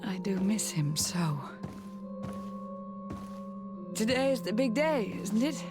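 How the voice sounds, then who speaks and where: A middle-aged woman playing a dying noble mother in a video game, gentle, weary, formal and halting. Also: medium pitch, lively intonation, music in the background, close to an everyday voice. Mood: tender, wistful. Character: ailing, dying noble mother, tender and resigned. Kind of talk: dramatic video game acting.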